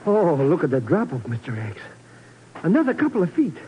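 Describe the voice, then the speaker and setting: A jittery little complainer of a sidekick, whining and stammering through an old radio adventure. His high voice leaps about with indignation and fear, heard on a dull, slightly noisy vintage recording. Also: huge pitch swings, a little fast. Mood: shaken disbelief. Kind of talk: 1950s radio drama acting.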